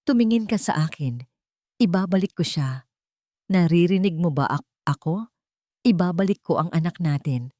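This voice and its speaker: A woman voicing a commanding billionaire father in an audio story, authoritative and dangerous under a calm surface. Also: moderate pace, pauses often, no echo, studio quality, lightly acted. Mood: intense, determined. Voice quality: low, authoritative voice.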